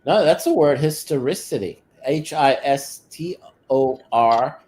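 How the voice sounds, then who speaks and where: A man whose first language is Hebrew, with a slightly high voice, halting through his sentences with frequent filler sounds. He keeps firmly insisting on his point. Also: expressive, darkish tone, a little hiss or hum. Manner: hesitant, many "uh" fillers, insistent. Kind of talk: casual chat.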